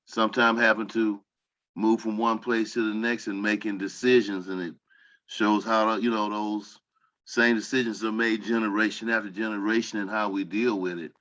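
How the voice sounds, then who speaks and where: The sound is slightly dull and lo-fi. A man with a fairly low voice speaks as a cast member, offering casual, reflective comments. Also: little pitch movement, moderate pace, a bit bright. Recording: dull lo-fi connection.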